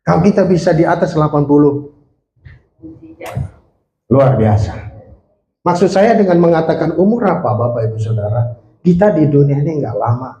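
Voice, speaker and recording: A man's voice: a little high, animated, normal speed, pauses often, dark tone, dull audio. A man in his late forties preaching a sermon with an Indonesian accent, drawing in the congregation with questions and calls for amen. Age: 40s. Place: church. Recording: echoey church hall.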